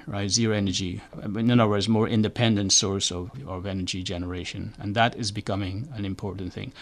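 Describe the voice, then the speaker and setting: A man's voice: medium pitch, a bit bright. An older man, speaking as an academic in an interview and explaining things, often checking in with the listener. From Guyana. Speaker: academic researcher, climatologist. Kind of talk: interview answers, explanatory talk.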